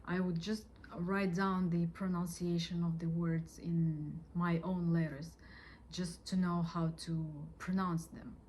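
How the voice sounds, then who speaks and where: A woman from Russia, giving an earnest personal testimony about her faith, with gentle pauses and frequent fillers. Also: lowish voice, little pitch movement. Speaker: convert sharing her faith story.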